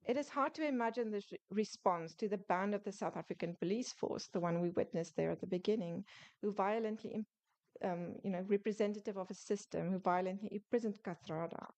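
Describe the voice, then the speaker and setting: A woman's voice: mid-range voice, a little mellow. Woman reading an academic book talk. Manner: measured, reads closely from a script with small restarts. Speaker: author presenting her book. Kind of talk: prepared academic lecture.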